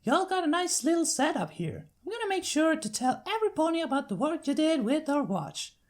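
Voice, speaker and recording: Woman as a folksy Southern farm pony, pitched up. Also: sing-song, a little fast, darkish tone. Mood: friendly welcoming. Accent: American Southern. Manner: folksy, casual, hearty. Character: friendly, plain-spoken country farm pony, young woman.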